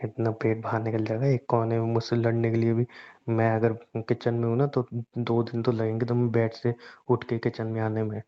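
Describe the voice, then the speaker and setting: A man's voice: medium pitch, completely flat delivery, fairly quick, clean tone, lightly acted. A man playing a teasing, romantic young groom-to-be who fusses and banters playfully in an intimate phone-call drama. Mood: teasing, mock-scolding. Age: young adult.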